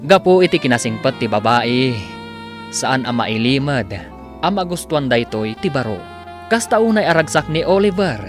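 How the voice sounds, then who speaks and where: A man with an Ilocano accent narrating a scripted radio drama serial as its announcer. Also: mid-range voice. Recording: music under the voice. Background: background music.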